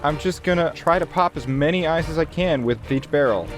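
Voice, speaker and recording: A man's voice: on the high side, fairly quick. A man playing a tabletop roleplaying game, chatting casually in an informal, questioning and joking way. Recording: clear music behind the voice. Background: music bed.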